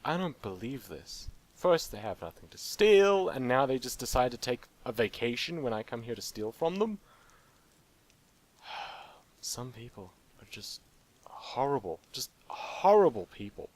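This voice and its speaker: A man's voice: mid-range voice, big pitch swings, a little slow. A man casually commenting on his own gameplay, joking and talking to the game's characters. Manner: playful, jokey, talks to game characters. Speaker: let's play gamer.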